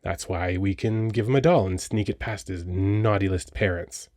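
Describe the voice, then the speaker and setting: A man's voice: low voice, normal speed, darkish tone, no room sound, clean studio sound, natural voice. A man playing a cheerful, flirty Christmas elf toymaker in a romantic audio roleplay, chatty and teasing with the listener. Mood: chatty, earnest. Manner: playful, teasing, bubbly, chatty.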